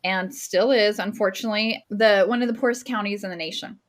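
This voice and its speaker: A woman's voice: mid-range voice, fairly even intonation, fairly quick. A woman in her forties from New Mexico, talking eagerly about teaching and art, laughing often and speeding up in bursts. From Las Cruces, New Mexico, US. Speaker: guest artist and teacher. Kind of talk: casual interview talk.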